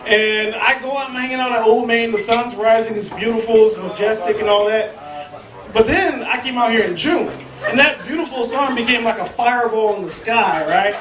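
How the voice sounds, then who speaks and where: A man's voice: very high-pitched, normal speed. A man doing a brash, profane stand-up set on a club stage, teasing the crowd. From southern United States. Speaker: stand-up comedian. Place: comedy club stage before a live crowd. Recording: roomy live club audio from a distance with crowd.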